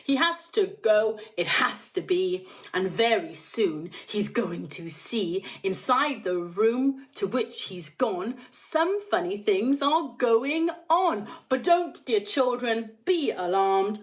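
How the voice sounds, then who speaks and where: A woman performs a band of tiny, gleeful little folk from a children's storybook. They chant moralising rhymes in a high, theatrical singsong that swoops up and down, drawing words out slowly for emphasis. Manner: emphatic, drawn-out, singsong delivery.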